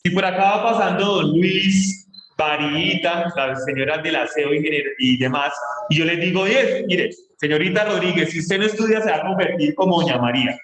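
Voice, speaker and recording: A Colombian man giving a lecture as a teacher, explaining slowly and stretching key words. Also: a little high, fairly bright tone, echoey room, slight background noise, rough recording. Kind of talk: online class lecture.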